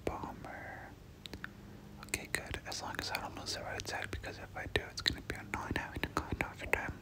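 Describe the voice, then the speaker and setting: A person doing whispered ASMR game commentary, speaking casually in gamer slang and often repeating short phrases. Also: brisk. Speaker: gameplay commentator.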